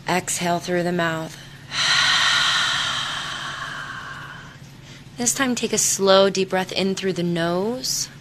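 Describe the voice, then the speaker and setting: A woman leading a guided meditation in a soothing, unhurried manner, leaving long pauses between short instructions. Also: fairly low pitch, noisy background. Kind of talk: guided meditation, body scan.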